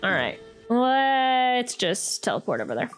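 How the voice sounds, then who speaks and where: Playful, higher-pitched woman game streamer. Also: very animated, unhurried, faint music behind. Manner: playful, jokey, chatty. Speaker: game streamer reacting to a playthrough. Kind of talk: casual gameplay commentary.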